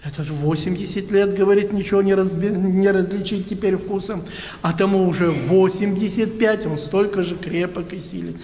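A man preaching a sermon in a halting, unhurried way, often asking his listeners rhetorical questions. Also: high voice, fairly even intonation, warm tone. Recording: echoey hall, dull lo-fi sound.

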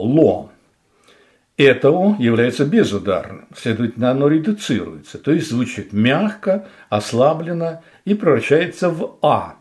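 A man teaching pronunciation in a scripted lesson, speaking slowly and deliberately and stressing vowels for demonstration. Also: medium pitch, a little mellow. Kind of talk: scripted instructional narration.

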